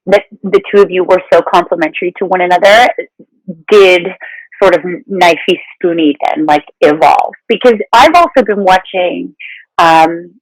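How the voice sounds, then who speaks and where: A woman's voice: fairly low pitch, normal speed, dry recording, low-quality recording. Woman podcast host chatting casually, super encouraging, dry close recording. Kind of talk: casual conversational interview.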